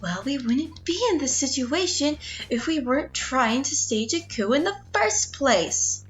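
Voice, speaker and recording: A woman's voice: high-pitched, very animated, a little slow, muffled recording. A woman voicing an anxious, sceptical animal rebel sidekick in a cartoon parody, worried and exasperated, with music behind her. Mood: reluctant.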